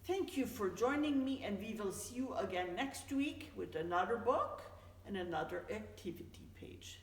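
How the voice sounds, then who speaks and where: A woman reading a children's picture book aloud as a storyteller, in an expressive, deliberate manner. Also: medium pitch. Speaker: storyteller, host of a story time. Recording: echoey, reverberant room, distant mic.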